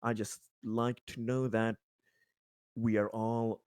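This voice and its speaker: A man voicing an earnest, long-winded, pragmatic colony official in an improvised tabletop game, speaking in halting, emphatic bursts. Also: on the low side, little pitch movement, darkish tone, clean tone, no room sound, clean studio sound. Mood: polite, earnest. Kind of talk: improvised tabletop roleplay dialogue.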